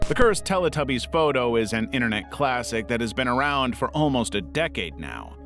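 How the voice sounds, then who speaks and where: A man's voice: mid-range voice, very animated, brisk, fairly bright tone, faint music behind. A man reading scripted narration in the role of an online video narrator. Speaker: narrator of internet video countdown.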